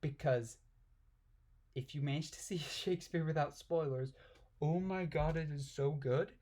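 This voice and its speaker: Higher-voiced man, irreverent casual reader. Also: unhurried, darkish tone. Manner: irreverent, giggly, often puzzled asides. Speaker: amateur reader and commentator. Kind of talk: casual commentary while reading aloud.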